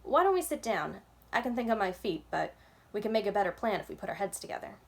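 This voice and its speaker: A woman's voice: mid-range voice, big pitch swings, fast talker, gravelly. Woman reading as a confident, folksy old man. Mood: jovial. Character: big grinning old man, bushy gray beard, easygoing trickster helper.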